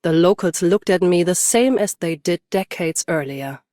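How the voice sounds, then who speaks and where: A woman's voice: lowish voice, normal speed, dark tone, no room sound, natural voice. Woman voicing a cool, stoic warrior woman, dry sound. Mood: cool, reflective. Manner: cool, restrained, commanding. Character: stoic battle-hardened champion swordswoman turned bodyguard. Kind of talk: scripted game character voice lines.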